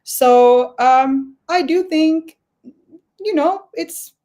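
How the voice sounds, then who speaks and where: A woman's voice: sits high, drawn-out words, mellow. A woman from Finland with a Finnish accent, a guest musician answering interview questions thoughtfully and with some hesitation. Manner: thoughtful, hesitant, many "like" fillers.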